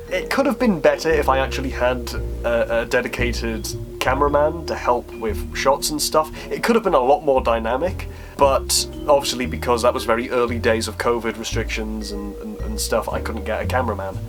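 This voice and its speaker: A man talking casually in a Q&A vlog, informal and self-deprecating, thinking aloud as he answers. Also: medium pitch. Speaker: YouTube creator answering viewer questions.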